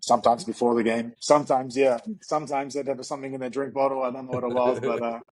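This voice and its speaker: A man's voice: mid-range voice, level tone, fast, a crowd in the background. A man, a coach talking casually in an interview, rambling and reflective with many fillers. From New Zealand. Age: 30s. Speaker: guest, strength and conditioning coach.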